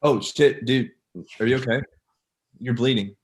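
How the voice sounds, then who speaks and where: A man's voice: medium pitch, unhurried, natural voice. A man playing an exasperated young love rival in a casual table read on a noisy video call.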